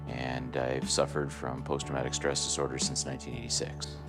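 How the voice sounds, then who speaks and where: A man in his fifties, a retired Air Force officer, speaking earnestly and softly in a documentary interview, often repeating words as he searches for them. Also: rumbling low, little pitch movement, fairly quick. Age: 50s. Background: background music.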